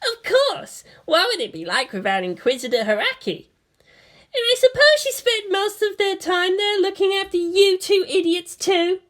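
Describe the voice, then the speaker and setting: Haughty teenage girl pilot played by a female reader, extremely high, animated, boastful and indignant storybook character. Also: normal speed. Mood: sarcastic.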